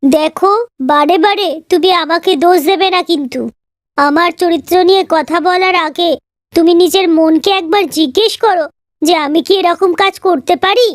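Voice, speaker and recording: A wronged wife from a cartoon drama, played by a woman. Her voice is extremely high and recorded dry. She is firm and indignant as she stands up for her honour. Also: normal speed, no room sound.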